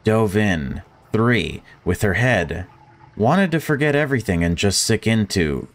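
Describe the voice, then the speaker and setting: A man's voice: fairly low pitch, expressive, unhurried. A man reading visual novel story text aloud and adding casual commentary as a gaming video creator.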